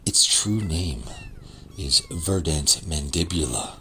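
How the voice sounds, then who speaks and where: A man voicing an ancient male alien plant creature in a sci-fi radio comedy, making slow, ominous pronouncements. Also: low-pitched, expressive, exaggerated voice. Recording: loud background noise.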